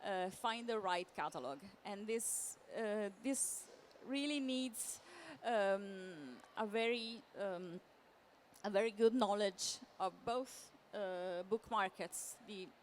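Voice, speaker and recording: A woman giving a semi-improvised conference presentation as a literary translator, halting and often repeating words as she searches for phrasing. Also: medium pitch. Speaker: literary translator, panel speaker. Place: live talk at a book fair event. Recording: clear background noise.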